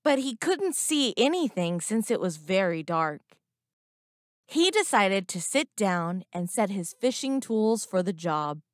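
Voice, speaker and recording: A woman reading a scripted moral story for children in a deliberate, expressive storytelling manner. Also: medium pitch, no room sound. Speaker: narrator.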